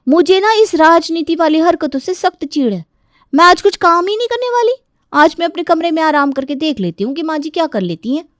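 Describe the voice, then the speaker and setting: A woman's voice: very high-pitched, sing-song, fairly quick, dry recording, studio quality, pitched above the performer's own voice. A woman voicing a hot-tempered young daughter-in-law who complains in exasperation in a family story. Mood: exasperated, angry.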